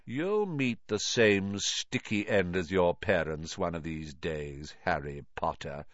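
A man's voice: low-pitched, lively intonation, a little fast, fairly bright tone, no room sound, highs cut off, voice pushed down. A man voicing a cold, haughty aristocratic villain in an audiobook, menacing and imperious. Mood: soft menace. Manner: sneering, imperious.

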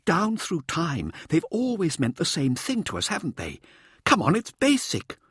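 Man playing a high-pitched, excitable showboating scientist. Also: moderate pace, breathy edge, dry recording, clean studio sound, exaggerated voice. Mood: showy, teasing. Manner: theatrical, pressing, didactic. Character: eager, showboating male expedition scientist, excitable and insistent. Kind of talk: audiobook character dialogue.

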